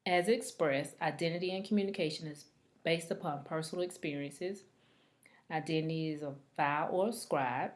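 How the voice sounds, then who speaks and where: A woman reading a scripted presentation aloud like a student, in a halting, deliberate manner. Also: low voice.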